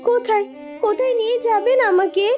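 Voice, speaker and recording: Woman acting devoted village girl, cartoon, distressed, pleading, very high voice, background music. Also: a little fast, darkish tone. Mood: anxious. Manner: pleading, plaintive. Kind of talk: dramatic cartoon storytelling.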